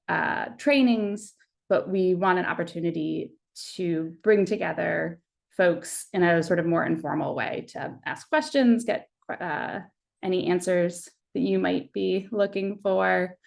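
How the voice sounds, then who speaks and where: Woman, webinar host on a video call, low pitch, creaky edge, casual with fillers. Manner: friendly, many "uh" fillers. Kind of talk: casual online meeting hosting.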